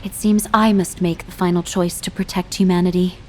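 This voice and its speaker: A woman playing a grave, resolute military leader in a video game, giving a formal, measured briefing. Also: on the low side, level tone, a little mellow, slight background noise, natural voice, rough recording.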